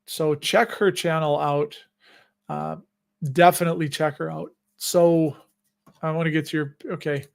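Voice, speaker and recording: Man, Chicago accent, middle-aged, slightly high-pitched, rambling livestream host, self-amused. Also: fairly even intonation, unhurried, gravelly. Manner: rambling and chatty, with frequent restarts and self-amused laughs. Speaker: host of a dividend investing livestream. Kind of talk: casual livestream chat answering viewer questions.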